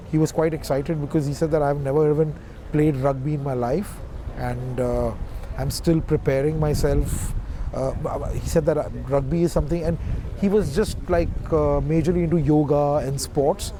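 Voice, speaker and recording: A man with a slightly high, mellow voice speaks haltingly in an interview. He recorded outdoors on a city street, with faint traffic sounds around him. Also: rough recording. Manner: hesitant, many uh fillers. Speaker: film director interviewee.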